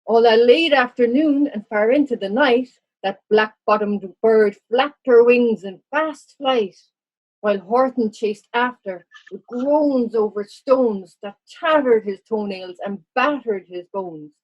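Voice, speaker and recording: Husky woman narrating a children's story. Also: on the high side, slow, lo-fi sound.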